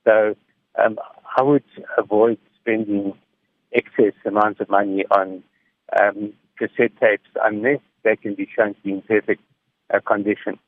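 A middle-aged man gives measured, explanatory answers in a reflective radio interview over the phone. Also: fairly low pitch, steady tone, dry recording. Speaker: interviewed music technology commentator. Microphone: phone call. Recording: tinny, narrow phone line.

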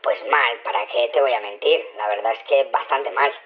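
An actor playing a flustered, hesitant security chief shrunk to half size in a radio drama, with a small pitched-up voice on a telephone. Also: male voice, normal speed. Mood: discouraged, candid. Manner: hesitant, candid. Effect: pitched-up tiny voice. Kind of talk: scripted radio drama phone interview. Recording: muffled narrow telephone line.

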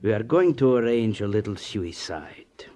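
An actor playing a menacing, gloating communist party boss in a 1950s radio drama, speaking with heavy emphasis. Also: male voice, fairly low pitch, expressive, normal speed, mellow, lightly acted. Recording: faintly noisy old radio recording.

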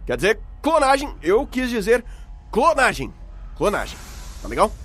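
A man's voice: high-pitched, wildly swooping pitch, normal speed, noisy background, pitched above the performer's own voice. Man doing a flustered, stammering young man. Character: nervous young man just woken, babbling.

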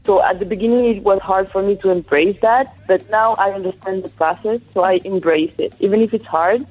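A young woman from France answering interview questions in English, soft-spoken and halting, with pauses. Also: mid-range voice, soft background music. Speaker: guest, athlete. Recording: tinny phone-like line.

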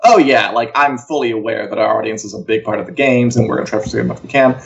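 A man's voice: mid-range voice, quick pace, gravelly, highs cut off. A man co-hosting an entertainment chat show, talking casually and wryly with offhand asides. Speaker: co-host of an entertainment news live show. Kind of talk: casual opinionated chat about TV and games.

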